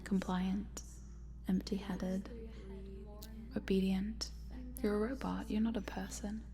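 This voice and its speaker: A woman playing a cute but sinister scientist in an ASMR roleplay, coaxing in a soft, breathy whisper. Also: mid-range voice, level tone, slow pace. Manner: hushed, coaxing, murmuring, giggly asides. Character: cute sinister lady scientist, chatty, coaxing, quietly gleeful.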